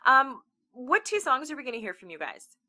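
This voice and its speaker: Canadian woman interviewer, crisp bright tone, chatty and eager to agree. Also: sits high, very animated, a little fast. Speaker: interview host. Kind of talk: casual interview chat.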